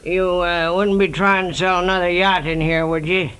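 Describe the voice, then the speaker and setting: An actor playing a brusque, bossy air show boss in 1940s radio drama, curt but prone to stammering. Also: male voice, sits high, little pitch movement, moderate pace, a bit bright, slight background noise. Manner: hesitant stammers between curt orders.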